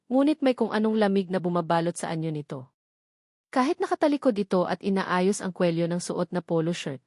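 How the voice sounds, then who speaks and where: A woman with a Filipino accent reading scripted romantic drama narration in a measured, emotive way. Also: mid-range voice, darkish tone, no room sound, clean studio sound. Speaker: audiobook story narrator.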